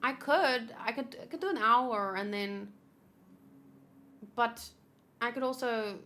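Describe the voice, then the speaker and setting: A woman chatting casually on a gaming livestream, thinking aloud in an expressive, chatty way. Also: a little high, takes it slowly. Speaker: video game streamer. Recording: clear background noise.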